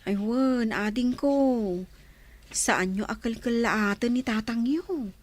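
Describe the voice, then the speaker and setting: A woman's voice: on the high side, slow. A woman playing a sympathetic, cautious neighbour in a radio drama, inquiring with concern. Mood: cautioning.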